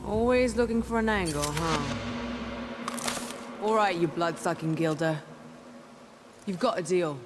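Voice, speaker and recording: A woman's voice: lowish voice, measured pace, pauses often, background noise, lightly acted. An actress playing a tough female gang leader in a video game, curt, cool and sardonic. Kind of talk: game cutscene character acting. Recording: music behind voice.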